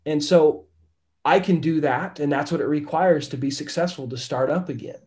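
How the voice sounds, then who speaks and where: A man, a real estate investor and podcast guest, telling his story candidly in a casual interview, his voice raspy from illness. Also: on the high side, moderate pace, a little mellow. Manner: candid, says "right?" often.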